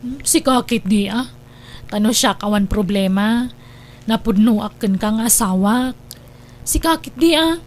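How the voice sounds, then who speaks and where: A woman playing a high-voiced scheming wife. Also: measured pace, long pauses, natural voice. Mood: scolding. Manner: wheedling, pushy. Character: scheming wife, a grown woman who keeps borrowing money. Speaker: lead character Amy. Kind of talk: Ilocano audio drama acting.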